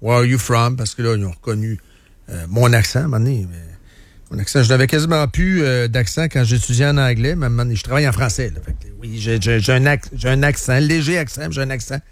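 A male radio host with a Quebec French accent and a mid-pitched voice, recorded close and dry. He talks current affairs in a casual, opinionated way, slipping in English words and repeating himself for emphasis. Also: quick pace, dry recording.

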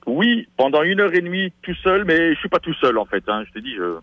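A man's voice: fairly high pitch, big pitch swings, fairly quick, no echo. A man, a stage actor, chatting enthusiastically as a guest in a radio interview. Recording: dull lo-fi radio sound.

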